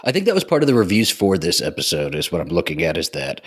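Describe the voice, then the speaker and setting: A man co-hosting a casual podcast chat, with a dry, sassy, drawn-out delivery. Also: sits low, huge pitch swings. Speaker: podcast co-host.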